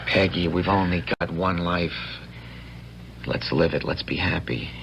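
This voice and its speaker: A man's voice: low-pitched, a little slow, gravelly, a bit bright, lo-fi sound, full character voice. An actor playing a brash, lovestruck young American militiaman with a folksy, cocky manner in a vintage radio drama. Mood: earnest, carefree. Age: young adult. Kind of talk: 1970s radio drama acting. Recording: faintly noisy vintage radio broadcast.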